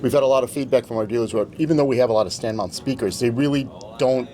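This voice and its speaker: A man giving a measured, articulate product presentation as a company representative at a trade show. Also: mid-range voice. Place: indoor exhibit room at audio show. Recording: music playing in a show room. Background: loud music.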